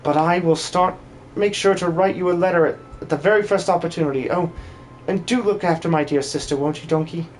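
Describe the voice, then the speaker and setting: A man plays an earnest video game villager who speaks gently and formally. The voice is fairly high, with faint noise in the background. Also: fairly quick, natural voice. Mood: grateful, earnest.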